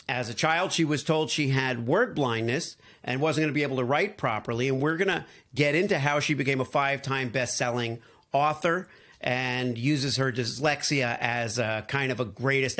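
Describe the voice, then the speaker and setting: A man hosting a podcast, talking in a relaxed, conversational way as he introduces and questions his guest. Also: medium pitch. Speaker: podcast host. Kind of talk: casual interview talk.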